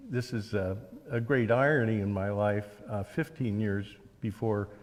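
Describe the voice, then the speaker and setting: An American man in his seventies talking as an interview guest, recounting his work and memories in a measured, halting way. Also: lowish voice, fairly even intonation. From Oregon, United States. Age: 70s. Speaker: interview guest, author and journalist. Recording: long hall reverb.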